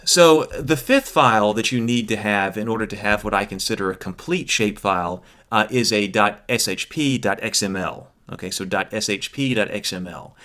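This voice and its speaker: A man in his thirties giving an explanatory lecture as a course instructor, with a hesitant, halting delivery and emphatic repetition. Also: on the low side, fairly bright tone.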